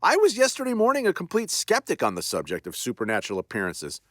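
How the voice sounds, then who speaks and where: A man's voice: a little high, huge pitch swings, fast, dry recording, clean studio sound, voice pushed up. A man voicing an earnest, apologetic English lord. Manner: formal, elaborate old-fashioned speech.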